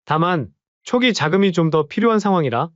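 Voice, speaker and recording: A man's voice: a little high, lively intonation, brisk, a bit bright, no echo, highs cut off. A man voicing an earnest young son-in-law in an audio drama, politely confident as he explains his plans.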